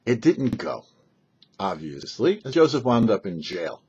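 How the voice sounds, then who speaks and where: A man, a rabbi hosting a short religious commentary, telling and explaining scripture with emphatic, halting phrasing and pauses. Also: lowish voice, very animated, a little mellow, breathy edge. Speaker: rabbi and host of a weekly Torah talk show. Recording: dull, lo-fi sound.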